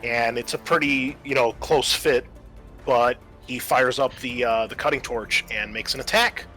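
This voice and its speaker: A man's voice: mid-range voice, steady tone, measured pace, pushed and bright, soft background music, rough recording. A man acting as game master, narrating a tabletop game and explaining things conversationally.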